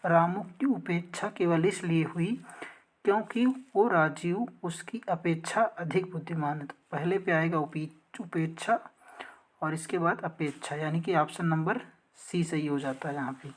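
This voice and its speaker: Low-voiced woman teaching an exam lesson. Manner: methodical, instructional, reads out questions and options. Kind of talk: exam practice lesson, explaining questions and answers.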